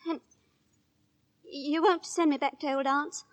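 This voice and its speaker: An actress playing a chirpy, naive young working-class daughter, in 1940s radio drama style. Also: female voice, high-pitched, steady tone, a little slow. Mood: chatty, curious. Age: young adult. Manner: bubbly, giggly, naive.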